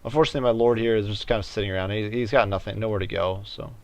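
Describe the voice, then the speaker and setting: A man giving casual commentary over a strategy game, thinking out loud in a hesitant, halting way. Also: fairly low pitch, fairly even intonation. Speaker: gaming video host.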